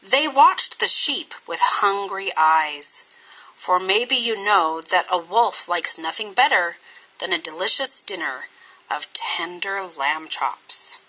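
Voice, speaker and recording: A woman reading a children's story aloud in an expressive, scripted style with dramatic, deliberate phrasing. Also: on the low side, low-quality recording. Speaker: storybook reader.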